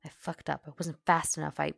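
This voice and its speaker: Woman voicing a gruff, hot-headed young man. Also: deep voice, little pitch movement, a little fast, dry recording, exaggerated voice. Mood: anguished, yelling. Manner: growling, forceful, swearing.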